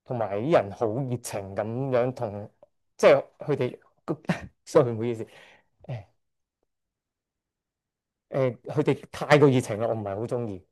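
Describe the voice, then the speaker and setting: A middle-aged man from Hong Kong speaks at a church service with a mellow, warm voice. He is hesitant, stops often to correct himself and lets out a shy chuckle now and then. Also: mid-range voice, unhurried, long pauses. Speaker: church member giving testimony. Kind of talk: church testimony speech.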